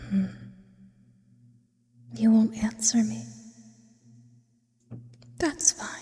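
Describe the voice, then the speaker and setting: Woman doing an ancient sleep demon for asmr, breathy whisper, eerie and intimate, drenched in long reverb. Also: fairly high pitch, darkish tone. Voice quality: breathy, mostly unvoiced whisper. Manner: slow, deliberate, theatrical and formal in wording. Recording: long reverberant hall effect.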